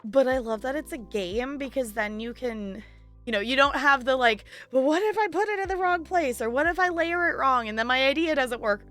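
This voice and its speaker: A woman's voice: high-pitched, expressive, moderate pace, fairly bright tone, faint music behind. A woman chatting casually on a livestream in a playful, giggly, rambling way. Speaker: live game streamer chatting with viewers.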